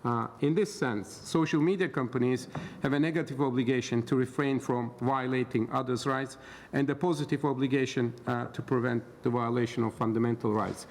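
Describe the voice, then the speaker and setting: A middle-aged man from Turkey giving a conference talk as an expert panel speaker, hesitant and full of filler pauses. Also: medium pitch, fairly even intonation. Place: conference hall. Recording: roomy hall reverb, faint background noise.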